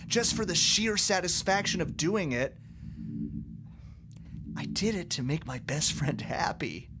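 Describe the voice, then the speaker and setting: A man acts out an eccentric scientist for an audio play. The voice sits on the high side and moves freely, excitable but halting when he opens up. Noisy ambience runs behind it. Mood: earnest, confiding. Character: eccentric lonely mad scientist, loyal friend. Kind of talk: amateur audio drama acting.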